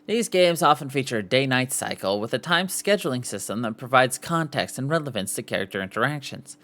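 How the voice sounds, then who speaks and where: A man's voice: medium pitch, lively intonation, brisk, bright, forceful tone. A man hosting a podcast, reading an article aloud with casual asides and sometimes stumbling and correcting himself. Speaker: podcast host.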